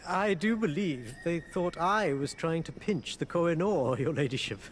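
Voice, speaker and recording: A man's voice: on the high side, animated, normal speed, a little hiss or hum, natural voice. A male actor playing a genteel, dignified exiled prince, formal and courteous, in a video game cutscene.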